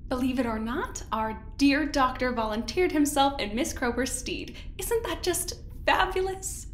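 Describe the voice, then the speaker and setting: Audio drama villainess: high-voiced research director, polite but cold, heard over clear background noise. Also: female voice, animated, a little slow. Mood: sweetly condescending. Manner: condescending, theatrically polite. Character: imperious woman research director, falsely sweet, coldly menacing. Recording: roomy, noisy background ambience.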